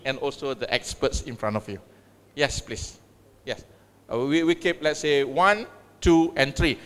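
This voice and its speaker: A middle-aged man speaks from the stage of a large university hall, his voice pitched high for a man and trailing off into a long reverb. He talks in a measured way, with clipped phrases and frequent pauses, as he presents and then opens the floor. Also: a bit bright, clear, resonant tone. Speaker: university vice chancellor giving a conference talk. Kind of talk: conference speech and Q&A moderation.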